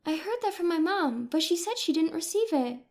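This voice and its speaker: An earnest young bride-to-be speaking as a loyal granddaughter, voiced by a female narrator. Her voice is high and animated, with a plaintive edge, over soft music in an audio story. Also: fairly quick.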